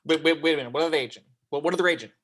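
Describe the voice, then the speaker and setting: A man acting an intense federal agent who questions and orders people at a very fast pace. His voice is slightly high and urgent, read as crime drama. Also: fairly bright tone, husky. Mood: urgent, demanding. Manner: urgent, interrogating. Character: intense, demanding male federal agent in command. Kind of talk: script table read acting.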